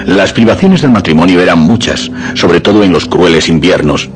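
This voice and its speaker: Deep-voiced male narrator over music. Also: lively intonation, moderate pace. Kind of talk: scripted documentary-like storytelling. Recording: music under the voice.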